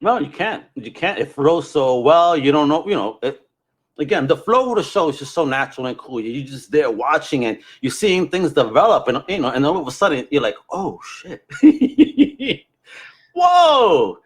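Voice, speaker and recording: A man's voice: on the high side, very animated, moderate pace, breathy edge. Man chatting enthusiastically as podcast host. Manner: enthusiastic, slangy, emphatic. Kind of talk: casual chat.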